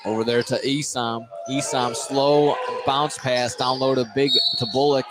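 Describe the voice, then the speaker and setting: A man's voice: medium pitch, flat delivery, measured pace, noisy background. A man doing live play-by-play basketball commentary for radio, often restarting and repeating phrases as he goes. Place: indoor basketball gym.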